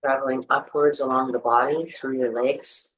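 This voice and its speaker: A meditation teacher leading a guided practice, giving gentle, soothing instructions with pauses. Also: medium pitch, flat delivery, a little hiss or hum, poor audio.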